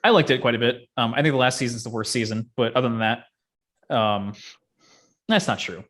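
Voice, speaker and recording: A man's voice: mid-range voice, fairly even intonation, brisk, fairly bright tone. Male podcast host, mid-range voice, slangy and opinionated, loose rambling conversational delivery. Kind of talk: casual chat about games and films.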